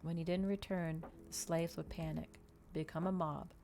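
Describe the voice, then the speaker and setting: A woman doing a soft, unhurried ASMR reading of a novel, with small stumbles and restarts. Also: low voice, fairly even intonation, vocal fry, noisy background. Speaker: streamer reading a novel aloud. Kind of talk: ASMR audiobook-style reading.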